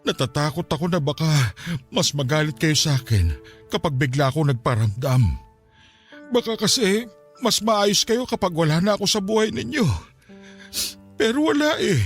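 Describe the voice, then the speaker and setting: A remorseful aging Filipino father in a radio drama. His fairly high voice swoops widely as he pleads, hesitant and tearful, over faint music. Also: sing-song, normal speed. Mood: tearful, ashamed.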